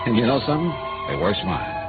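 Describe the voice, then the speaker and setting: A man's voice: on the low side, big pitch swings, fast talker. An American man playing a wry, hard-boiled insurance investigator in 1950s radio noir, with clipped, dry delivery. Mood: wry narration. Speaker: lead actor and narrator. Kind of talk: 1950s radio noir acting, first-person narration. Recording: muffled old radio recording with music.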